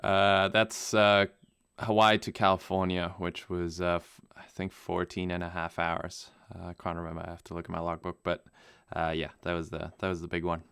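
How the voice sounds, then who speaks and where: A young man who grew up in Namibia, native German speaker, answering interview questions in a relaxed, rambling way with many fillers and restarts. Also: sits low, normal speed, gravelly, clean tone, no echo, clean studio sound. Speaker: guest pilot.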